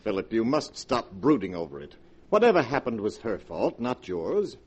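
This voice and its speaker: Menacing smooth schemer, lively and husky. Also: male voice, fairly low pitch, moderate pace. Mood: smooth pressure. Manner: commanding, coolly manipulative. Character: menacing, smooth-talking male blackmailer and schemer. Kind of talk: 1940s radio horror drama acting. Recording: vintage 1940s radio recording.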